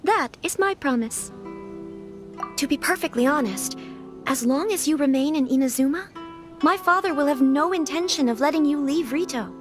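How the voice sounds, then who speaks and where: A woman's voice: sits high, expressive, moderate pace, over music. A woman playing a young noblewoman in a video game; she speaks formally and courteously, with small nervous stammers now and then. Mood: earnest.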